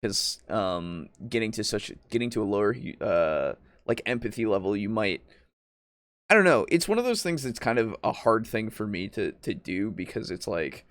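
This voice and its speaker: A man's voice: on the low side, animated, moderate pace, fairly bright tone, dry recording. A man talking casually as a podcast co-host, rambling and thinking aloud with some hesitation. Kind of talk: casual discussion.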